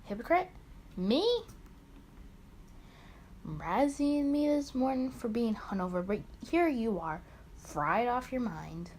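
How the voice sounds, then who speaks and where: A woman's voice: on the high side, animated, takes it slowly, long pauses, a little mellow, a little hiss or hum. A woman voicing a drunk, teasing, smug man in a visual novel, in a playful, theatrical manner. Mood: mock offended. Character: drunk, teasing, lazily smug male detective's partner.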